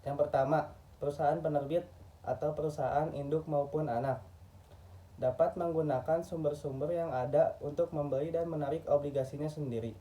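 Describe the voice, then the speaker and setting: A man's voice: fairly high pitch, steady tone, brisk, dark tone. A man from Indonesia reads a scripted lecture in a formal textbook manner, stumbling over a word now and then. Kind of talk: scripted lecture narration, read aloud. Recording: muffled, distant microphone.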